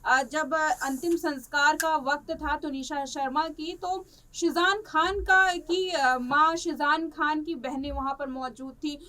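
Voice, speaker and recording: High female voice, news presenter, halting unscripted commentary, distant microphone. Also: steady tone, pushed and bright. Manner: halting, repetitive, stretches words while searching for the next.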